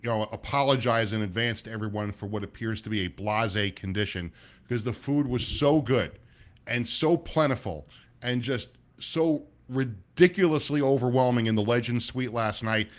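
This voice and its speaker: A middle-aged man hosting a casual sports talk show, his voice a little raspy, rambling with many restarts and sounding sluggish. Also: mid-range voice, normal speed. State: sluggish, low energy. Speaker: sports radio host.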